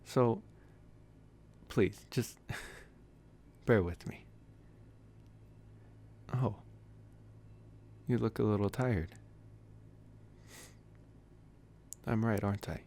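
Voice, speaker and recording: A man acting as a young male vampire with a low voice, made for intimate ASMR roleplay. He is teasing and possessive but gentle, chatting in a soft, casual way with sighs and chuckles. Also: drawn-out words, long pauses, exaggerated voice. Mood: mildly defensive, then gently coaxing.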